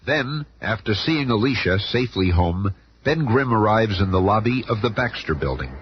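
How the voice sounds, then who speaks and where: A man's voice: fairly low pitch, animated, moderate pace, natural voice. A man performing as a chummy, showy comic-book narrator in a 1970s radio drama. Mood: dramatic. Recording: lo-fi 1970s radio recording, faint noise.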